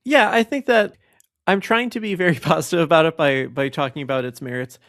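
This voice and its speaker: A man co-hosting a podcast, talking casually in a tactful, measured way as he searches for his words. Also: a little high, vocal fry, fairly bright tone, no echo, clean studio sound. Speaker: podcast co-host.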